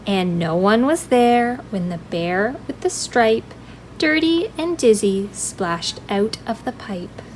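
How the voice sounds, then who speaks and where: A woman's voice: on the high side, animated, slow pace, darkish tone, a little hiss or hum, low-quality recording. A woman hosting a children's library storytime, reading rhymes aloud expressively and addressing small children in a friendly, sing-song way. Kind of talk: expressive read-aloud of a rhyming picture book for young children.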